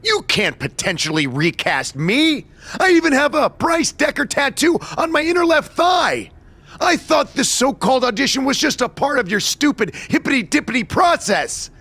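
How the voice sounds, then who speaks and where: A man's voice: extremely high pitch, very animated, normal speed, pushed and bright, heavily acted. A man voicing a vain, smug wrestler turned movie star in a video game cutscene, with a pompous, condescending delivery. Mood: indignant.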